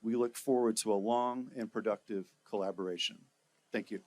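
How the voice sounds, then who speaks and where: A man's voice: fairly low pitch, fairly even intonation, brisk, a little mellow, slight background noise. A man speaking for the faculty at an inauguration, giving a formal prepared tribute with a light touch of humor.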